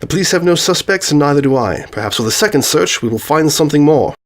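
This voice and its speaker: A man's voice: fairly high pitch, lively intonation, quick pace, voice pushed up, lightly acted. A man voicing a self-assured, theatrical detective with formal, declamatory delivery in a narrated story. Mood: grave, businesslike.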